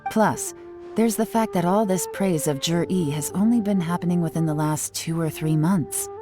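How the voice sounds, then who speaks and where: An actress playing a poised, shrewd young woman investigator in a video game, measured and slightly theatrical, with courteous phrasing. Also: lowish voice, over music, close to an everyday voice. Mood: shrewd, skeptical. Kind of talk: scripted video game voice acting.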